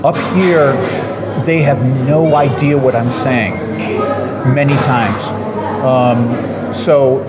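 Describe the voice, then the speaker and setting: An American man hosting a travel food show, chatting casually about pastries he tastes, with ums and pauses while eating in an indoor cafe with high ceilings. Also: loud music behind the voice. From United States. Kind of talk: casual food tasting vlog. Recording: loud crowd noise behind. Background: busy crowd chatter.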